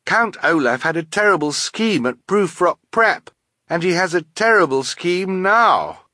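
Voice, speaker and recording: A man's voice: sits high, expressive, measured pace, pushed and bright, no echo, studio quality, voice pushed up, exaggerated voice. A man voicing an earnest, alarmed youngster in a storybook, with an urgent, pleading manner.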